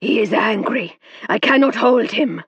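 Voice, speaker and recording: A woman's voice: on the high side, lively intonation, slightly rough, dry recording, dull audio, voice pushed up, heavily acted. Woman doing a growling foreign spirit guide. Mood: alarmed. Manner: slow, solemn pronouncements.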